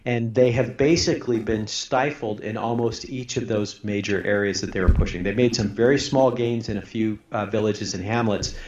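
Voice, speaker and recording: A man's voice: lowish voice, moderate pace, hardly any pauses, a little mellow, a little hiss or hum. Earnest middle-aged man, lo-fi radio sound. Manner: earnest, stop-start, repeats words while thinking. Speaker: radio guest, retired army intelligence officer. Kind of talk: radio political commentary.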